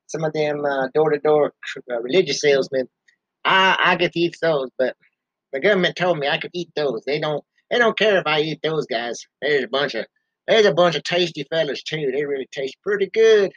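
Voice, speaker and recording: Man voicing a bear podcast host: rambling, ungrammatical, angry ranter; somewhat high, dull lo-fi sound. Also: expressive, normal speed, fairly bright tone, no room sound. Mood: ranting. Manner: rambling hick grammar, angry ranting. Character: gruff grumbling male bear podcaster, ranting.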